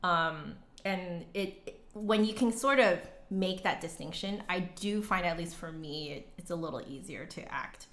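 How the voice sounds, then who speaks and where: A woman's voice: fairly low pitch, unhurried, hardly any pauses, a bit bright. A woman hosting a casual livestream, chatting with viewers and thinking aloud in a rambling, hesitant way. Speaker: livestream host, flute musician and teacher. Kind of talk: casual livestream chat, reading and answering comments. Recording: roomy, echoey indoor sound.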